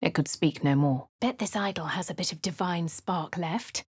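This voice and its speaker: A posh English game heroine: low, crisp, dryly sardonic, close and dry studio sound. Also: female voice, moderate pace, a little mellow, no room sound. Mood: wry. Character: posh young female adventurer, dry and wry. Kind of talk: video game character voice lines. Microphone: close studio mic.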